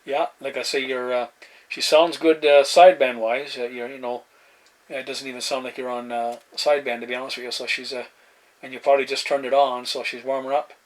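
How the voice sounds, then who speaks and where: A man chats casually in a halting, laid-back way, using CB radio jargon like a hobbyist at his desk. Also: medium pitch, little pitch movement. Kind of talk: casual radio chat and demo narration. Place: indoor desk beside CB radio sets. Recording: noisy room with radio hiss. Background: radio static and room noise.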